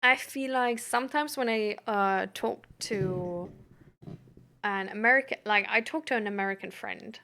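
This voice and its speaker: A woman chatting casually like a livestream host reading and answering messages, halting and giggly, with lots of "like" as filler. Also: mid-range voice, pushed and bright.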